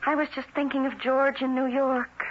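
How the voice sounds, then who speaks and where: A woman acts a devoted, anxious wife in a vintage radio drama. Her voice is fairly high, gentle and earnest, bravely holding steady. The old recording is muffled, with faint noise. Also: normal speed, lightly acted. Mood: distracted, wistful. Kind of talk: 1970s radio drama acting.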